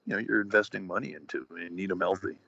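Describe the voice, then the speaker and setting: A man's voice: sits low, fairly even intonation, fast, vocal fry, a bit bright. A man in his forties chatting casually on a podcast, rambling with lots of fillers and wrestling slang. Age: 40s. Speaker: guest, retired wrestler. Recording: dull lo-fi podcast audio, dry.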